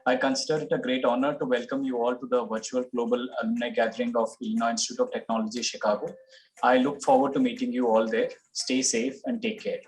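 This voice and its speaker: A man's voice: mid-range voice, quick pace, soft background music, rough recording. A man, a government officer giving a formal, courteous welcome. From India. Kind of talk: recorded welcome message.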